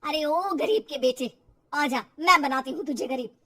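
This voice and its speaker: A woman's voice: high voice, normal speed, a bit bright. A woman voicing an exasperated housewife and mother in a cartoon story, scolding and complaining with dramatic sarcasm. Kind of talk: cartoon moral story acting.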